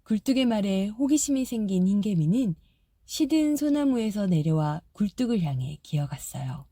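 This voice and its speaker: A woman narrating a fairy-tale audiobook in a soft, gentle storytelling manner. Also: mid-range voice, very animated, normal speed, dry recording, clean studio sound.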